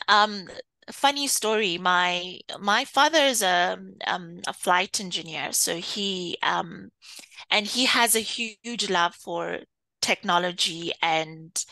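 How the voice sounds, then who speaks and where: Bright-voiced Kenyan-born woman. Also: medium pitch, no room sound. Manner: thoughtful, many "um" pauses, laughs easily. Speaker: interview guest, data executive. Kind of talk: conversational interview.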